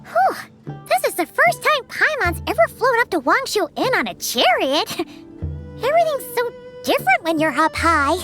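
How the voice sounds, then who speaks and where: A woman voicing a tiny, excitable, cheeky fairy companion in a video game, childlike and exclamatory, chattering about herself in the third person. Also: very high-pitched, moderate pace, over music. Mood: excited.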